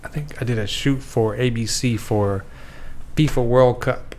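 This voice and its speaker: A man's voice: mid-range voice, measured pace, a little mellow, slight background noise. A male host in a casual chat, asking playful, teasing questions.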